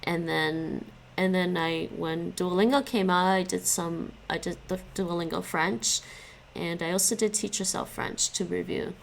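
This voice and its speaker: A woman's voice: fairly low pitch, fairly even intonation, a little slow, vocal fry, slight background noise. A young woman chatting casually with stream viewers while she studies languages. She stops and restarts often and laughs a lot. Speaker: live streamer studying languages.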